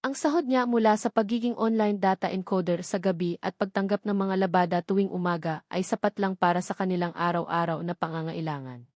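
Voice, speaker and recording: A woman's voice: fairly low pitch, fairly quick, hardly any pauses, a little mellow, no echo. Close, dry Filipino woman storyteller. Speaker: narrator. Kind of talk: dramatic scripted audio story narration.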